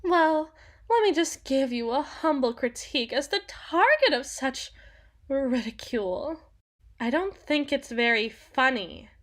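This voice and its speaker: A woman playing a haughty, sharp-tongued young empress in a dramatic audio roleplay, imperious and theatrical, with formal old-fashioned diction. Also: sits high, lively intonation, unhurried. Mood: scornful.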